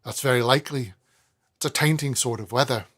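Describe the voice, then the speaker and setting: A man voicing an uneasy young male lodger with a put-on accent and a gruff, blunt manner. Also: medium pitch, a little fast, a bit bright, natural voice.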